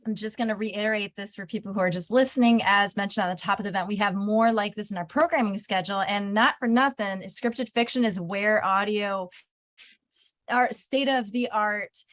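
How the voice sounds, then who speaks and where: A woman hosts an online panel as its moderator. Her voice sits at a middle pitch. She speaks casually and effusively, with generous praise. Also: a little fast, fairly bright tone. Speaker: event moderator.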